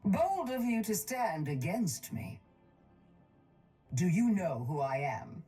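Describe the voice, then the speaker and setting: A woman playing a ruthless, wealthy businesswoman crime boss in a video game, speaking with measured, haughty contempt. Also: mid-range voice, sing-song, dark tone, slightly rough, faint music behind, full character voice. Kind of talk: video game character voice lines.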